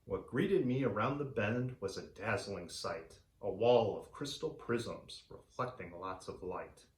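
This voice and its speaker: A man reading a story aloud for children in an animated, theatrical way. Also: on the low side, a little slow, reverberant, poor audio. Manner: animated, theatrical delivery for young listeners. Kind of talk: expressive read-aloud storytelling.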